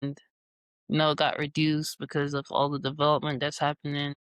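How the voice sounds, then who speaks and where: Man in his twenties, from jamaica and new york, smooth voice, flat even delivery, dry close sound. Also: on the high side, fairly quick, no echo. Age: 20s. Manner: relaxed, easygoing, with casual fillers. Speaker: guest scientist. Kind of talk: casual interview answers.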